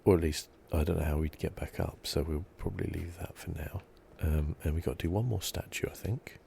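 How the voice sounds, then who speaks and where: Very deep, creaky man doing ASMR. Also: a little fast, slight background noise. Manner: soft, relaxed, musing. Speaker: gaming channel host. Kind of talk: ASMR video game playthrough commentary.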